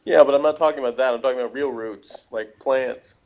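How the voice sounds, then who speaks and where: A man's voice: mid-range voice, fairly even intonation, quick pace. A man in casual review chat, rambling and opinionated, as a podcast reviewer. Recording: dull, muffled lo-fi sound.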